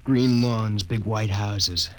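A man acting in a classic radio drama as a folksy, excitable spaceship crewman, plain-spoken and eager. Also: on the low side, slow pace. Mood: homesick, cheerful. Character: folksy, excitable male spaceship crewman from small-town America. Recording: noisy old radio drama recording.

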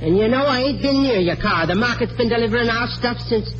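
An actress playing a nosy, plain-spoken housekeeper in a classic radio drama, folksy and sharp-tongued. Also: female voice, fairly high pitch, expressive, fast, a little hiss or hum, close to an everyday voice. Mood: indignant. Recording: dull old radio recording.

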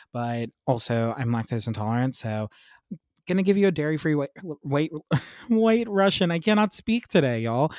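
A young man hosting his own podcast in a casual, upbeat, self-mocking chat, a little congested and clearing his throat. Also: medium pitch, darkish tone, dry recording, clean studio sound. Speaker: podcast host.

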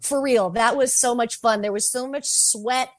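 Brief: talkative woman guest, slightly high voice, close dry mic. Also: moderate pace, no echo. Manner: chatty, heavy use of "like". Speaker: podcast guest. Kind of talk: casual chat.

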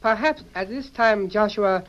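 A man's voice: sits high, unhurried, fairly bright tone. A man playing a small, polite, proverb-spouting Chinese character with a stage Chinese accent, in formal broken English on radio. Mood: calm, polite. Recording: dull, faintly noisy old radio.

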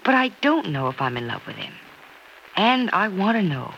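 A woman's voice: lowish voice, big pitch swings, moderate pace, gravelly, a bit bright, full character voice. A sincere young woman in an old radio soap, affectionate, swoopy intonation, muffled old recording. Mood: earnest, uncertain. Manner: earnest, affectionate, emphatic. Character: earnest young single woman, fond but cautious about love. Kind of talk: 1940s-50s radio soap acting. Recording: dull, noisy old radio transcription.

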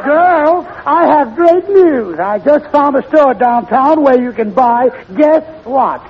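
Goofy, high-pitched tavern dimwit. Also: male voice, animated, takes it slowly, some chatter behind, full character voice. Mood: silly excitement. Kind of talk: 1940s radio comedy acting. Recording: muffled, noisy old radio broadcast.

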